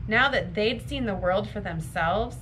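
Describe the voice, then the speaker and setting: A woman reading a fairy tale aloud for children in an expressive, deliberate storytime manner. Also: medium pitch, noisy background. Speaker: library storytime reader.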